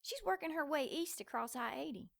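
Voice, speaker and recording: An actress playing a chatty small-town diner waitress, friendly and gossipy. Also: female voice, high-pitched, expressive, brisk, creaky.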